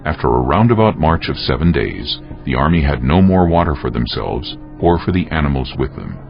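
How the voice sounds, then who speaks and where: Very deep, gravelly male narrator. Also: sing-song, a little fast. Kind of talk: scripted dramatized scripture narration. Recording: muffled audio over faint music.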